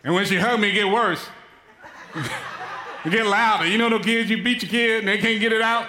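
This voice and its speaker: A man preaching a sermon, telling a comic story with shouted cries and playful asides to the congregation. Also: high-pitched, fairly even intonation, fairly quick. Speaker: pastor. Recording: long reverb of a large hall.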